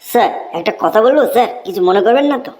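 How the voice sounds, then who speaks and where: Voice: mid-range voice, lively intonation, racing, darkish tone, close to an everyday voice. An actor voicing a cheeky young schoolboy with an earnest, deferential, pleading manner in a cartoon comedy. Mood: mock earnest. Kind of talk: exaggerated Bengali cartoon comedy dubbing.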